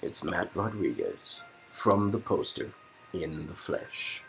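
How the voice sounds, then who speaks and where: A man's voice: low-pitched, sing-song, slow pace, creaky, a bit bright, faint music behind. A man reading out narration from an interactive romance game, with joking asides to his co-reader.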